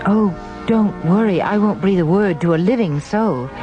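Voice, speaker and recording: An actress playing a grateful, genteel widow in a radio drama, effusive and drawn-out. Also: female voice, medium pitch, lively intonation, muffled recording, over music, close to an everyday voice. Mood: gushing gratitude. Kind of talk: mannered radio drama acting.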